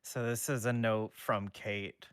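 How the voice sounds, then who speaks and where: A man co-hosting a casual stream, laughing loudly and swearing offhandedly, who also reads a fanfic aloud. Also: fairly low pitch, steady tone, takes it slowly, clean tone, no echo, clean studio sound. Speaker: co-streamer and reader.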